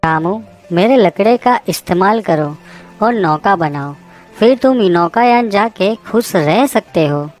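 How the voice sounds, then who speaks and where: A woman voicing a gentle, self-sacrificing mango tree in a children's cartoon story, affectionate and apologetic. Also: medium pitch, lively intonation, measured pace, faint music behind, close to an everyday voice. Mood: apologetic, giving.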